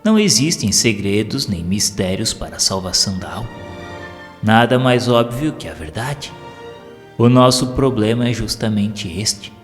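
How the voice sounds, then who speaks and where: A man's voice: lowish voice, animated, normal speed, lightly acted. Man as a humble, kindly spiritual teacher, reverberant. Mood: calm teaching. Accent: Brazilian. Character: kindly, humble wise male spiritual medium. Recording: long reverb, music behind.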